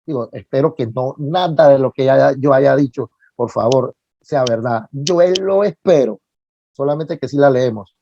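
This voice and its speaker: A man giving an informal religious lecture as a catechist, speaking haltingly, repeating words for emphasis, with folksy asides. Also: medium pitch, vocal fry, mellow, slight background noise. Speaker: catechist giving a virtual talk. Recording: dull lo-fi online-talk audio.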